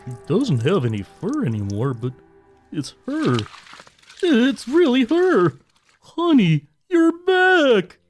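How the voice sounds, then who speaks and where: A man's voice: very high-pitched, wildly swooping pitch, slow pace, soft background music, exaggerated voice. A man voicing a vengeful, devoted talking bull in a melodramatic horror comedy audio drama. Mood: awed, tender.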